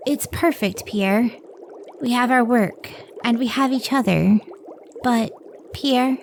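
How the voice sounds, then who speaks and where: An actress plays a devoted woman scientist in an old-time radio drama. Her voice sits fairly high and she speaks with earnest, idealistic care. A noticeable hiss and room ambience sit behind her. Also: slow, gravelly. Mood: weary, devoted.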